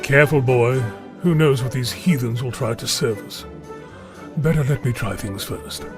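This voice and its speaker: An actor playing a pompous, grumbling master in an audio drama, dry and condescending, with a husky dark voice. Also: male voice, mid-range voice, normal speed, over music, close to an everyday voice. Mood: wary, bossy.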